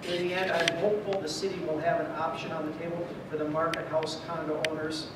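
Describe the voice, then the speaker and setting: A man with a slightly high voice presents points at a public meeting, insistent as he moderates. He is recorded from a distance in a very reverberant hall, with clear background noise. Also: little pitch movement, fast talker. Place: indoor theatre at community meeting. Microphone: distant camera microphone. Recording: very echoey theatre hall, distant, noisy.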